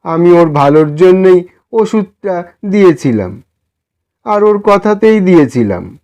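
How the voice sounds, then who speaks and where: A meek older gentleman from an audio story, acted by a male narrator, pitched well up. He is poor and eccentric, speaking in an earnest, wistful and apologetic way. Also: lively intonation, slow, dull audio, exaggerated voice. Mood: defensive.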